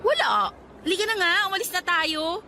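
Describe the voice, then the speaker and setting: An actress playing a bubbly, teasing young Filipina friend in a radio drama, with a playful, expressive Filipino accent. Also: female voice, extremely high pitch, moderate pace, a little hiss or hum, heavily acted.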